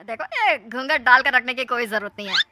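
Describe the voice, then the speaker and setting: Woman as fussy older man, greedy cartoon father-in-law, very bright, dry sound. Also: high voice, very animated, a little fast, no echo, full character voice. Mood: puzzled, coaxing. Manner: questioning, fussy. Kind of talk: Hindi cartoon story acting.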